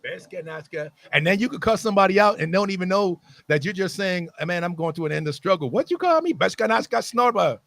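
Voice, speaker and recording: A young man co-hosting a casual chat, joking and teasing with loud, animated asides. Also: high voice, quick pace, vocal fry, few pauses. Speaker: co-host.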